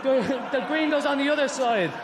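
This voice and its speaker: Cocky high-voiced Irish fighter. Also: male voice, brisk, a crowd in the background. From Ireland. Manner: cocky, joking trash talk. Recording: echoey hall, faint noise.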